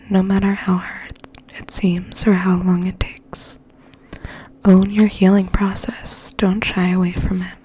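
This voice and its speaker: Female ASMR reader: breathy whisper, mellow and dark, gentle and unhurried, faint room noise underneath. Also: mid-range voice. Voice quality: breathy, mostly unvoiced whisper. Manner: slow, gentle, hushed. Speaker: ASMR host and poetry reader. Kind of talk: whispered ASMR poetry reading with soft chat.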